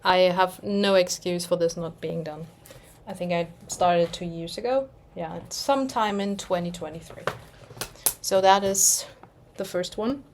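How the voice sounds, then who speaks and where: A young German woman chatting casually in English, rambling a little and pausing to search for words as she shows her stitching projects. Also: fairly low pitch, a little hiss or hum. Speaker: cross-stitch vlogger. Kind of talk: casual chat.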